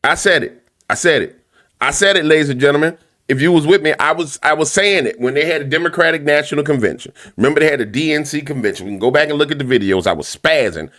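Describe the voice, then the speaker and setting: A man hosting a commentary show, ranting loudly and emphatically with a repetitive, preacher-like cadence. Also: on the high side, expressive, quick pace. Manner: loud, big voice. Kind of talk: fiery commentary rant.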